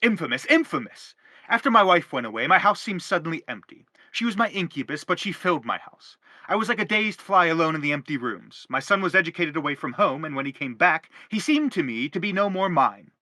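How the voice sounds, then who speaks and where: A man's voice: on the high side, expressive, fairly quick, sharp tone, dry recording. An actor playing an anguished middle-aged father in a stage drama, pompous, wordy and insistent as he justifies himself. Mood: indignant, exasperated. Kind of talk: theatrical stage drama acting.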